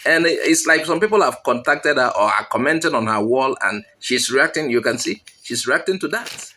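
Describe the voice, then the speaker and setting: A man hosts his own channel, talking earnestly and directly to his audience. His pitch rises and falls in a lively way, and he sits in a middle register. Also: normal speed. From Nigeria. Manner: earnest, appealing directly to viewers. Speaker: channel host. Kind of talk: commentary to viewers.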